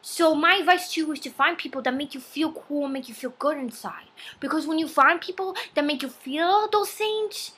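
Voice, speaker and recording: Casting: nerdy fifteen-year-old boy vlogger played by a woman, high bright voice, earnest and preachy amateur self-help delivery. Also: moderate pace. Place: small home room. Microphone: laptop webcam at arm's length.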